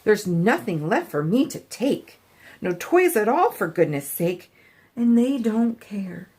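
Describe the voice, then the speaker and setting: A woman voicing a possessive young male dinosaur bully in a storybook, with drawn-out, emphatic delivery. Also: mid-range voice, sing-song, slightly rough, heavily acted. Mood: upset. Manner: drawn-out, emphatic, grumbling to shouting.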